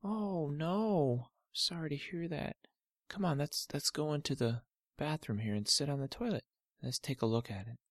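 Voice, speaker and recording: A man's voice: fairly high pitch, normal speed, no room sound, studio quality, voice pushed up, close to an everyday voice. A man voicing a patient father, soothing and reassuring in tone.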